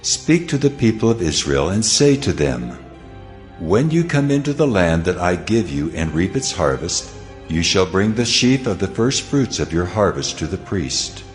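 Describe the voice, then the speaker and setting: A man's voice: low-pitched, very animated, faint music behind. A man voicing the Lord, solemn and commanding, in a dramatized scripture reading. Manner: solemn, slow, weighty pronouncements.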